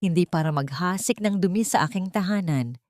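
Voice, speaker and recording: A woman's voice: fairly low pitch, brisk, a little mellow, no room sound, lightly acted. An elderly, haughty matriarch, acted by a female storyteller, gives orders. She is cold, imperious and full of disdain. The audiobook recording is dry and close.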